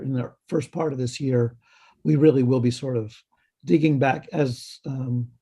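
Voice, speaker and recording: A man giving a halting update at a health committee meeting over a video call, slightly hoarse, with many ums and restarts. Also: mid-range voice, dark tone. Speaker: health department staff presenter.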